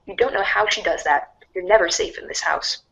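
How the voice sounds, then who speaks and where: A woman's voice: medium pitch, a little fast, gravelly, a bit bright, poor audio. A woman narrating a scripted read-along storybook in a wry, theatrical manner.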